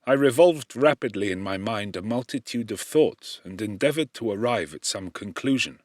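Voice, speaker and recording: A man reading a classic novel as an audiobook narrator, in a measured, expressive storytelling manner with formal diction. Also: fairly low pitch, dry recording, clean studio sound. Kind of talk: dramatic literary audiobook narration.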